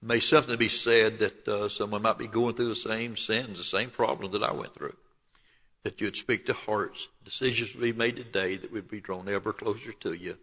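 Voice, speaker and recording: A man in his seventies with a Southern Alabama accent, preaching and telling his life story in a folksy way, often clearing his throat. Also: on the low side, steady tone, normal speed, fairly bright tone. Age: 70s. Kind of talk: sermon with personal testimony. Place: church.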